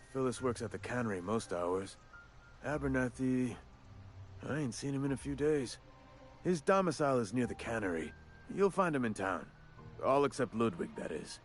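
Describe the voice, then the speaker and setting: Man doing a drawling folksy undertaker, shady and businesslike, even middle pitch, game dialogue over faint music. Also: normal speed, close to an everyday voice. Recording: game audio with background music.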